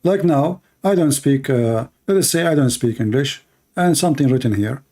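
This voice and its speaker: A man's voice: medium pitch, animated, normal speed, dark tone. A man with an Arabic accent hosting a call-in show, questioning callers insistently and telling stories with animation. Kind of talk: call-in debate.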